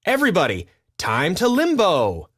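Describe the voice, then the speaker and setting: A man acts as a scheming lemur sidekick in a storybook. The voice sits fairly high, emphatic and coaxing, with lively swings in pitch. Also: normal speed, exaggerated voice. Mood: rallying. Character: scheming, persuasive male lemur aide. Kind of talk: children's storybook character voice.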